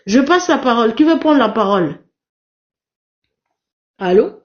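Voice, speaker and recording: A woman's voice: on the high side, lively intonation, measured pace. A woman whose first language is Portuguese, moderating a live panel and urging her listeners with emphatic, repetitive appeals. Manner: emphatic, repetitive, exhorting the audience. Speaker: live stream moderator and activist. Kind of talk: impassioned live panel talk. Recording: dull lo-fi live stream audio.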